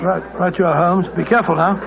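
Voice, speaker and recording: An actor playing an earnest, flustered English gentleman sidekick in an old-time radio drama, with a hesitant, blustery, proper manner. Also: sits high, steady tone, fast talker, gravelly, music in the background. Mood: flustered, uneasy. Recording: noisy old radio broadcast.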